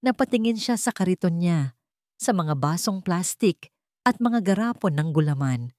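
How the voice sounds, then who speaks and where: A woman's voice: lowish voice, animated, normal speed, darkish tone, no echo, clean studio sound. A woman with a Filipino accent narrating a scripted story in a dramatic storytelling style.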